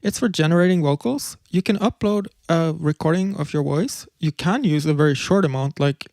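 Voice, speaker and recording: A man's voice: a little high, moderate pace, a little mellow, no room sound. Man presenting, dry close sound. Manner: loose explaining with filler words and restarts. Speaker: presenter demonstrating music tools. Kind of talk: casual livestream presentation.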